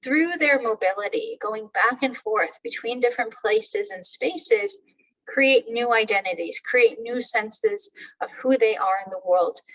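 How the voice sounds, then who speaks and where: A woman's voice: a little high, a bit bright. Middle-aged woman lecturing from a distance. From Turkey. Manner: measured, thoughtful, with frequent "um" fillers. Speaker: professor. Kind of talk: academic webinar talk.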